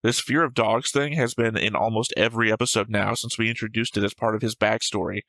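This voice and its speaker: A man's voice: fairly low pitch, fairly even intonation, fast, a bit bright, no room sound. A man co-hosting a casual discussion show, going through notes in a dry, analytical way. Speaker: reaction show co-host.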